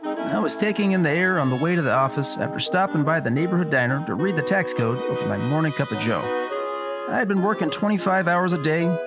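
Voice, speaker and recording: A wry, pun-loving noir detective, played by a man in an old-time radio drama. The delivery is lively and swooping, with prominent music underneath. He narrates like a hard-boiled gumshoe. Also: a little high, brisk. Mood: wry, brooding. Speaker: podcast host playing himself.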